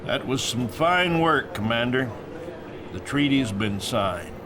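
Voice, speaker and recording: An actor playing a gruff, no-nonsense general in game cutscenes, commanding and blustery, with a folksy turn of phrase. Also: male voice, mid-range voice, expressive, takes it slowly. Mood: satisfied. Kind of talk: military briefing acting in game cutscenes. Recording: clearly noisy background.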